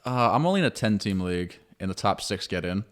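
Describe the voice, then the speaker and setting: A man's voice: lowish voice, very animated, fast talker. A man in his twenties hosting a casual interview, telling things in informal, slangy style. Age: 20s. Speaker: podcast host and interviewer. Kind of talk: casual chat.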